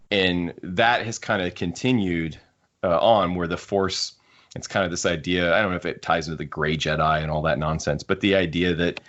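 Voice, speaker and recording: Deep-voiced man musing on a podcast. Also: a bit bright, muffled recording. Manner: musing, circles back to rephrase points. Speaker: podcast co-host. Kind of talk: casual fan discussion.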